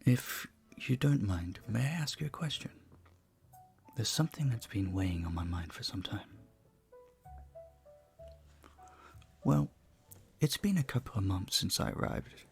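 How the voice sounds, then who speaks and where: A man playing an earnest, courteous, flirtatious vampire bartender in an intimate audio roleplay, speaking softly, politely and deliberately. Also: on the low side, creaky. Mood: troubled, yearning.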